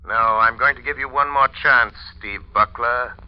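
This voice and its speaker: An actor playing a mysterious, relentless mind-reading crime avenger, commanding and ominous, in a 1940s radio drama. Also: male voice, mid-range voice, fairly even intonation, normal speed, a little hiss or hum, close to an everyday voice. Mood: menacing, stern. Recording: dull lo-fi old radio recording.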